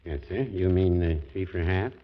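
An actor playing a mild older small-town druggist in a 1940s radio comedy, deadpan and hesitant. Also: male voice, sits low, moderate pace, close to an everyday voice. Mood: deferential, dry. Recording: muffled, noisy old radio recording, clicks.

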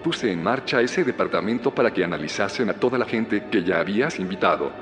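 Male actor as assured founder, Spain accent, calm and persuasive, audio drama, strongly reverberant, music underneath. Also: lowish voice, a little fast, close to an everyday voice. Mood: measured, persuasive.

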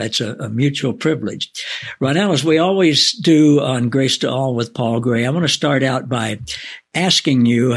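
Husky-voiced man hosting a faith show. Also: mid-range voice. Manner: folksy, drawn-out, warm asides. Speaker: host.

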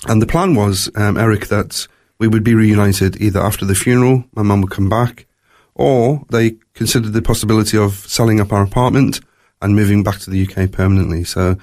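A man's voice: lowish voice, steady tone, fairly quick, darkish tone. A man with a faint Scottish accent telling his personal story as an interview guest, in a reflective, conversational way. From born in Scotland, moved to South Africa at three.

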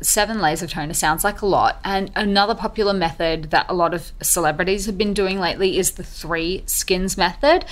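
A woman's voice: lowish voice, a bit bright. A woman hosting a podcast, explaining skincare methods in an upbeat, chatty way. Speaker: podcast host. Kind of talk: podcast monologue.

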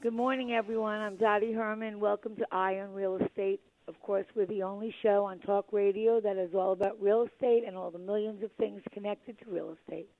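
A woman hosting a talk radio show, a little hoarse, chatty with lots of fillers. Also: mid-range voice, fairly even intonation, a little fast, hardly any pauses, no echo. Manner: chatty, with many fillers and restarts. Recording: dry tinny phone-like radio sound.

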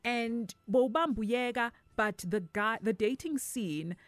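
A woman's voice: a little high, takes it slowly, dry recording. A South African woman hosting a radio dating segment, teasing and mixing English with Zulu. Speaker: radio host. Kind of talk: casual radio chat. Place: radio studio.

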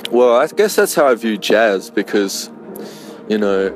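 A male musician talks in a somewhat low voice, reflective and unhurried. He often pauses and searches for his words as he works through his ideas. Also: very animated, crowd noise. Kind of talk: interview answer.

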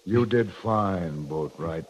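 A man's voice: low-pitched, slow. A man acting a firm, terse cavalry captain in a 1950s radio western, with an American accent and controlled military delivery. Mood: quietly sombre. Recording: muffled noisy 1950s radio recording.

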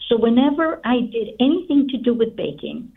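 A woman's voice: fairly high pitch, very animated, fairly quick, vocal fry, breathy edge. A middle-aged woman from Guatemala speaking as an interview guest, telling her own story conversationally. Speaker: interview guest, company founder. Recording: slightly distant, narrow phone-like sound.

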